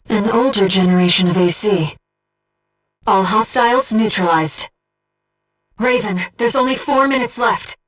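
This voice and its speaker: A woman playing an earnest, devoted young female spirit guide in a video game, speaking with composed, gentle precision as a mission operator. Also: medium pitch, far from the mic, rough recording. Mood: urgent, alarmed. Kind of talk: scripted video game voice acting.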